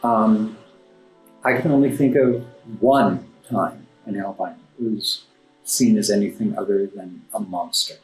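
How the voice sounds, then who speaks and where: A man giving conversational interview answers as a guest playwright, articulate and formally worded, often halting and repeating words for emphasis. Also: fairly low pitch, steady tone, darkish tone. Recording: roomy echo, music underneath. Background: classical background music.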